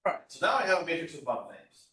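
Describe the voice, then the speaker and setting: A man's voice: a little high, sing-song, fast talker, pushed and bright. Echoey, distant man lecturing informally. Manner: explains step by step, conversational. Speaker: university lecturer. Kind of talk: informal classroom lecture explaining code. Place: classroom. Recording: echoey room, voice far from mic.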